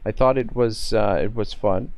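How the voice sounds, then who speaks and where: A man's voice: fairly low pitch, moderate pace, darkish tone. A man from Nova Scotia, casually commenting on a live game stream, swearing often and thinking aloud with lots of fillers. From Nova Scotia, Canada.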